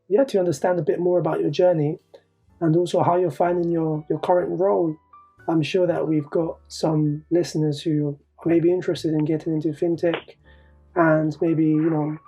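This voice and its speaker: A man hosting a podcast interview, asking questions in a relaxed, encouraging way. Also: a little high, husky, rough recording. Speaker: podcast host. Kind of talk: casual interview.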